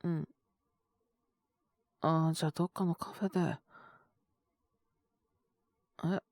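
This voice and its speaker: A woman's voice: low voice, fairly even intonation, slow, darkish tone, no room sound, studio quality, full character voice. A woman voicing a sick, tired young male teacher in an audio drama, brusque and sighing, with a weary mutter. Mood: reluctantly caring. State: feverish, coughing. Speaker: voice actor. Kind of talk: Japanese situation-voice audio drama.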